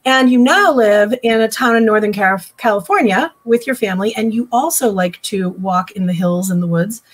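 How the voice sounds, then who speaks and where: A woman's voice: mid-range voice, normal speed. A woman editor from the Boston area moderating a live online panel from home, introducing the guests with lots of "um" and chatty asides over a laptop mic. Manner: warm, chatty introductions with frequent "um". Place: home room. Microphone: laptop webcam mic on a video call.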